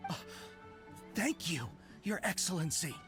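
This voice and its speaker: An actress playing a zealous, loyal soldier in a video game, earnest, deferential and emphatic. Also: female voice, on the low side, sing-song, a little slow, over music. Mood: surprised, grateful.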